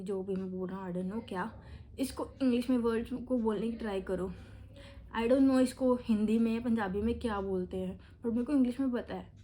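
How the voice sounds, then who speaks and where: A woman's voice: a little high, warm tone. Chatty young Indian woman vlogger. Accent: Indian English. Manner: chatty, mixes Hindi and English freely. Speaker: vlogger giving exam tips. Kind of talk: casual tutorial talk to camera.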